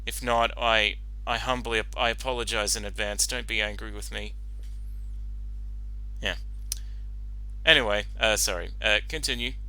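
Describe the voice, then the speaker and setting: A man's voice: on the low side, fairly even intonation, moderate pace, pauses often, bright, forceful tone, poor audio. A man giving casual, off-the-cuff reaction commentary in a rambling, hesitant manner.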